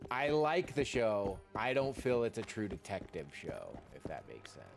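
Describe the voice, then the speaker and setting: A man's voice: fairly low pitch, huge pitch swings, normal speed, creaky, over music. A man rambling casually as he livestreams a game, informal and given to mild, clean exclamations. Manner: informal, mild euphemisms for swearing.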